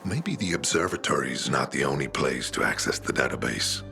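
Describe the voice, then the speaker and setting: An actor playing a weathered older rebel radio broadcaster in a video game, gravelly and persuasive, with a measured, dramatic delivery. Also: male voice, lively intonation, pushed and bright, exaggerated voice. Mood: earnest, persuasive. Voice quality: gravelly, very deep. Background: music.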